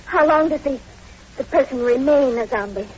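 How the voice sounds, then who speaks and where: A woman's voice: sits high, moderate pace, lightly acted. A woman playing a young heroine, horrified and distressed, in old radio horror drama acting. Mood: appalled. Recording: dull lo-fi old radio recording, hiss.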